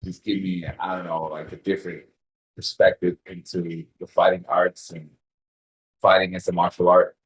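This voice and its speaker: A man from Puerto Rico in his thirties, a fighter chatting casually in an interview, relaxed and rambling with restarts and casual swearing. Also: sits low, moderate pace, poor audio. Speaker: interview guest, bare-knuckle fighter.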